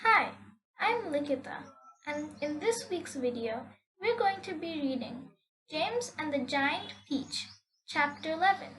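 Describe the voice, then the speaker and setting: High-pitched female storyteller, expressive. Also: measured pace, low-quality recording. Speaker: children's storybook reader. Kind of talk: expressive read-aloud storytelling.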